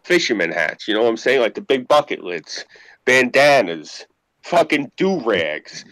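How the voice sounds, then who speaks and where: A man in his late thirties with a deep voice, ranting casually and profanely with frequent laughter. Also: very animated, a little slow, a bit bright, dry recording, rough recording. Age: late 30s. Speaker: podcast co-host. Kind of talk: casual chat.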